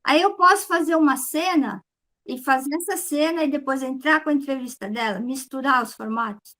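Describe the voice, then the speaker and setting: A woman's voice: high-pitched, moderate pace, fairly bright tone. A Brazilian woman talking casually as a workshop student, laying out an idea by example.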